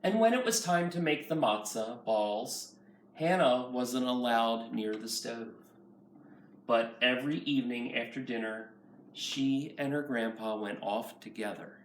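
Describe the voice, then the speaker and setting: A man's voice: mid-range voice, a little slow. Man reading a storybook, roomy and distant. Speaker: nursery school teacher. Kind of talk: children's picture book read-aloud. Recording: roomy, far from mic, faint noise.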